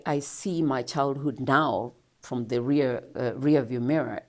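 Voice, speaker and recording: This is a woman with a Kenyan accent whose voice sits deep and low. She tells her own life story as an interview guest in a reflective, earnest way and breaks into laughs now and then. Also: a little mellow.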